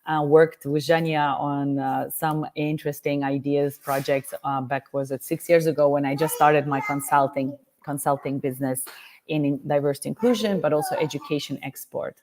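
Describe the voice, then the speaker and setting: Low-voiced woman from Uzbekistan. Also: fairly even intonation, normal speed, a little mellow, slight background noise. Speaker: guest speaker, diversity consultant. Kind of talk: livestream interview answer. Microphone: video call.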